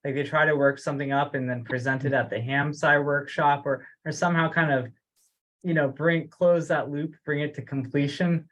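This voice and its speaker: A man's voice: a little high, fairly even intonation, normal speed, fairly bright tone, poor audio. A man leading a group discussion on a teleconference, speaking hesitantly as he thinks aloud. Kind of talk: discussion on an online group call. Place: remote teleconference.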